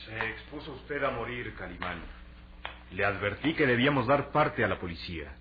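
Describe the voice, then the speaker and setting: A dignified, cautious ambassador acted by a man speaks. He is formal and measured, full of concern. The old radio serial sound is dull, with a faint hum. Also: on the low side, lively intonation, lightly acted. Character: Sarim, cautious, worried, dignified male Indian ambassador. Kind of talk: Latin American radio serial acting.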